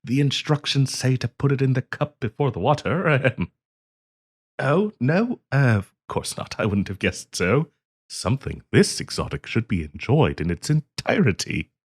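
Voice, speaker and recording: A man's voice: medium pitch, big pitch swings, moderate pace, breathy edge. A man playing a polite, hesitant half-dragon diplomat in a fantasy roleplay audio, genteel and eager to please as he hosts the listener. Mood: polite, considerate. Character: polite, flustered half-dragon diplomat hosting tea in his cave.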